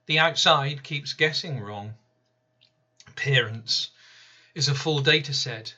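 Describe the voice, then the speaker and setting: A man reading his own poem aloud in a slow, deliberate, measured way. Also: mid-range voice, bright, forceful tone, lo-fi sound. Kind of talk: spoken-word poetry reading.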